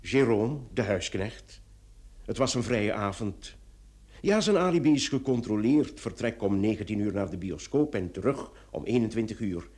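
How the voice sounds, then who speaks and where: An actor playing a formal, dryly probing police commissioner in a radio play, measured and sometimes hesitant. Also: male voice, on the low side, animated, darkish tone, lightly acted. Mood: businesslike. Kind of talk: old radio play acting.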